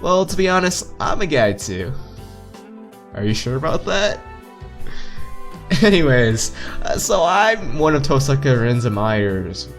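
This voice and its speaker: A man's voice: mid-range voice, very animated, unhurried, pauses often. A man reading visual novel text aloud as a let's player, stumbling over lines and repeating words, with joking asides. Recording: game music behind voice.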